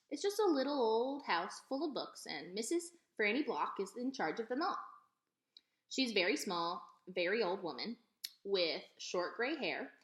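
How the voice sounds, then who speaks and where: A woman reading a children's novel aloud as an expressive storyteller. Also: mid-range voice. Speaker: read-aloud reader. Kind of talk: expressive children's storybook narration, told as a young girl. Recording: echoey, roomy sound.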